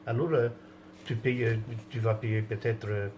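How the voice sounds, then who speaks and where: A man's voice: medium pitch, flat delivery, normal speed, a little mellow, a little hiss or hum. A man from France in a rambling, indignant rant, with many restarts and trailing phrases.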